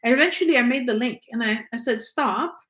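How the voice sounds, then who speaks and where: A woman's voice: fairly high pitch, a little fast, a bit bright. A woman whose first language is French, hosting a podcast and candidly recounting her own experiences in a conversational way. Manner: candid, conversational, frequent fillers and restarts. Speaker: podcast host. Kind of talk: personal storytelling.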